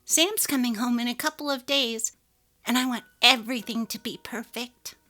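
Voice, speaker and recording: A woman's voice: fairly high pitch, normal speed, bright, forceful tone, pitched above the performer's own voice. A woman voicing an earnest, resolute young wife and mother in a dramatically read story, with emphatic, heartfelt delivery. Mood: content. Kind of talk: dramatic reading of a text-message story. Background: music.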